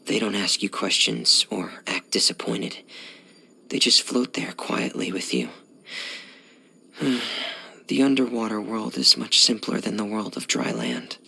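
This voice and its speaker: A man playing a shy, gloomy teenage boy diver in video game voice lines, speaking softly and hesitantly in a hushed, breathy voice. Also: lowish voice, sing-song, measured pace, heavily acted. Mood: quiet, wistful. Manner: hesitant, reserved, subdued.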